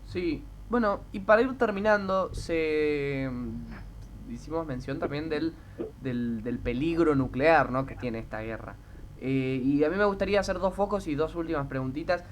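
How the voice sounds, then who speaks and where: A man's voice: fairly high pitch, moderate pace, a little hiss or hum. A man interviewing conversationally on the radio, hesitantly feeling his way through his questions.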